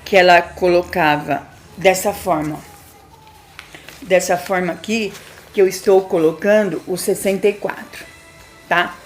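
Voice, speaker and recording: A woman's voice: on the low side, steady tone, a little slow, slight background noise. A woman math teacher explaining a lesson step by step, patiently asking questions and answering them herself.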